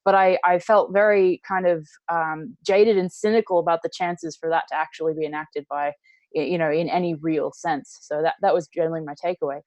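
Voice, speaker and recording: A woman's voice: low voice, steady tone, a little fast, a little mellow, no room sound. A woman from the United States giving conversational political commentary, searching for her phrasing as she goes. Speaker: panel co-host.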